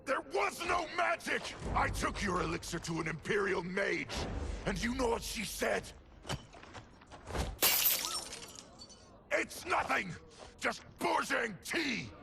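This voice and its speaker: A man's voice: very high voice, very animated, measured pace, creaky, raised voice, noisy background, full character voice. A man playing an embittered, grieving farmer in a video game, anguished and accusing in manner. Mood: furious. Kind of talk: video game cutscene acting.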